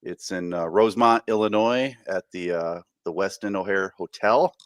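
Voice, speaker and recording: Male podcast co-host, informal and halting, close dry recording. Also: sits low, dry recording. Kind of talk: casual podcast chat.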